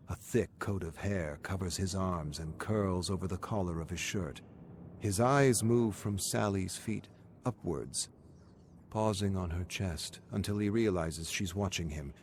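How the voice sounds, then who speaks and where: Low-voiced man narrating horror. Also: darkish tone, a little hiss or hum. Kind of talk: dramatic scripted horror story narration.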